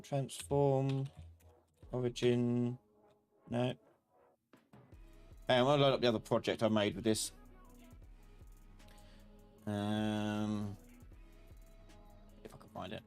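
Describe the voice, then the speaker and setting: A man with a mid-range voice leads a casual live software class. He thinks aloud, often muttering or humming as he works. Faint music plays behind him along with the sound of typing. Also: medium pitch, takes it slowly, long pauses. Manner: informal, muttering and humming while working. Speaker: host, software instructor.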